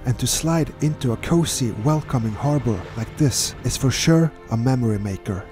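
A man calmly narrating his own sailing voyage in a measured voice-over, with slightly non-native phrasing. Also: medium pitch. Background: music.

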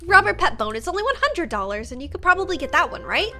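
A woman's voice: extremely high pitch, sing-song, fast talker, sharp tone. A young woman hosting a gaming video, acting out a roleplay expressively. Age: young adult. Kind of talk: dramatized game roleplay. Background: faint music.

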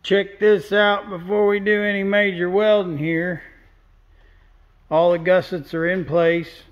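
A man's voice: high voice, little pitch movement, unhurried, dull audio. A man welding and fabricating, walking through his work in a shop in a plain-spoken, casual way as he points things out. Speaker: welder and fabricator. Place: large indoor metal fabrication shop.

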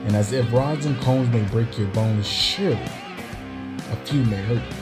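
A man delivering a spoken-word poetry monologue in a dramatic, deliberate manner with pauses between phrases. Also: medium pitch. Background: plucked guitar music.

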